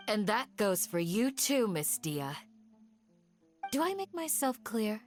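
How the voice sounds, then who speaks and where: An actress playing a courteous village protector in an anime game, polite and measured with quiet authority. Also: female voice, medium pitch, big pitch swings, faint music behind. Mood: amused, firm. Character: gentle but firm village guardian woman, courteous protector.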